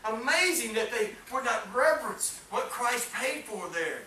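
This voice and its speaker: Middle-aged man, fervent preacher, high piercing voice, echoey church hall, distant camera, faint hiss. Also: expressive, measured pace, sharp tone. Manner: fervent, rising and falling, calls for amens. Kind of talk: Pentecostal-style sermon. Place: small church hall with congregation. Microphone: far camera, no mic seen.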